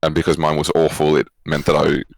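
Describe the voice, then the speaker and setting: Young man, Australian accent, very deep pitch, dry sound, casual, reflective, hesitant interview guest. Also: completely flat delivery, fairly quick, vocal fry, no echo. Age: young adult. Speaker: esports coach, former pro player, guest.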